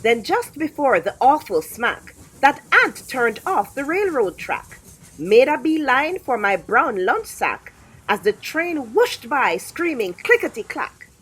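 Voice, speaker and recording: Female storyteller for young children's rhyming picture book: high, swooping pitch, theatrical and rhythmic delivery. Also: very animated, slow, fairly bright tone, husky, poor audio. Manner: rhythmic, dramatic, theatrical phrasing. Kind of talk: expressive rhyming read-aloud for young children.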